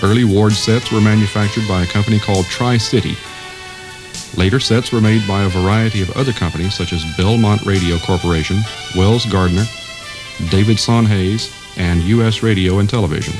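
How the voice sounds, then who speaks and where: Man, lowish voice, scripted documentary narration, clear instrumental music underneath. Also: brisk. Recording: music behind the voice.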